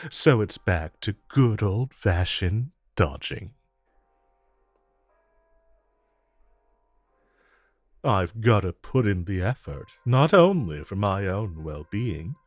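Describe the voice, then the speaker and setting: Man acting as charming supervillain, audio roleplay, flirtatious, teasing drawl, unhurried, close dry sound. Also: on the low side, a little mellow, dry recording. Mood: wry, teasing. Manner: smooth, teasing, unhurried drawl with deliberate pauses. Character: suave, flirtatious male supervillain courting his tailor. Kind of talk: romantic audio roleplay addressed to the listener.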